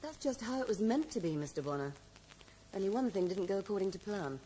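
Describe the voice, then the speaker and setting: A woman's voice: mid-range voice, animated, fast talker, mellow, lightly acted. An actress playing a composed, ambitious female accomplice, clipped and defiant, in a 1980s radio drama. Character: cool, ambitious, unapologetic female accomplice hungry for power. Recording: noisy, hissy old radio broadcast recording.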